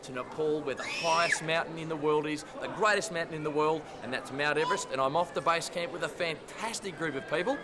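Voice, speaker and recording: A man's voice: on the high side, brisk, few pauses. An enthusiastic Australian man presenting a travel documentary in an upbeat way, speaking to the audience. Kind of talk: upbeat presenting, partly to camera, partly scripted narration. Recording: noisy outdoor location sound.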